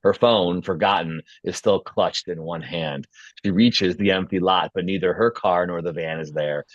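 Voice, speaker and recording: A man's voice: sits low, moderate pace, dry recording, lo-fi sound. A man reading scene headings and action as scripted narration at a screenplay table read. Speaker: stage directions reader.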